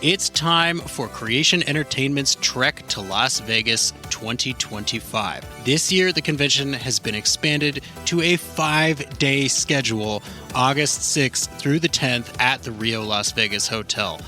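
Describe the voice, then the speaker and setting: Bright, upbeat male promo voice. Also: medium pitch, measured pace, faint music behind. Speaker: ad reader. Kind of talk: convention promo read.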